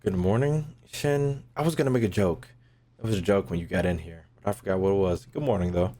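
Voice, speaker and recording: Very deep-voiced joking gamer man. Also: a little fast, dark tone. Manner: loose, joking, crude slang. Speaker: gaming streamer. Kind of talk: casual let's-play commentary.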